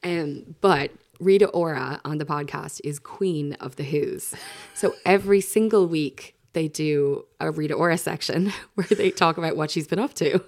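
A woman's voice: deep voice, normal speed, a little mellow. An Irish woman hosting a podcast in casual, chatty talk, with lots of fillers and restarts. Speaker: podcast host. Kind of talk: casual chat.

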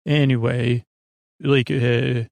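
A middle-aged man with a gravelly voice hosting a bedtime podcast, talking in a halting, meandering monologue that keeps interrupting itself. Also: medium pitch, flat delivery, darkish tone, dry recording. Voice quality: gravelly, creaky. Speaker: podcast host.

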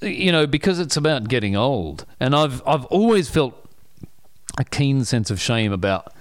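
This is a middle-aged man in relaxed conversation, poking fun at himself and breaking into laughter. His pitch leaps high and drops low as he talks. The sound is dry and close to the mic. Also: moderate pace, no room sound. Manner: self-deprecating, laughs often, many restarts. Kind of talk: casual conversational storytelling.

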